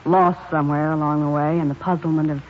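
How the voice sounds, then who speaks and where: A woman's voice: low-pitched, level tone, fast talker, close to an everyday voice. An actress playing a frank, commanding American woman in a 1950s radio drama, speaking emphatically in long, perceptive speeches. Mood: firm, reflective. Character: frank, no-nonsense, perceptive woman scolding two men. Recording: muffled, hissy 1950s radio recording.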